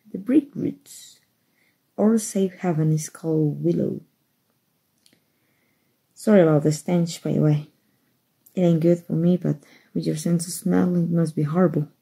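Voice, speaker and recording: Woman acting low, breathy monster-world rescuer. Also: steady tone, unhurried, pauses often, dark tone, exaggerated voice, low-quality recording. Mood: guarded, friendly. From Mexico. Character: kind human rescuer, gruff-talking, reassuring undercover rebel. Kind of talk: ASMR roleplay acting.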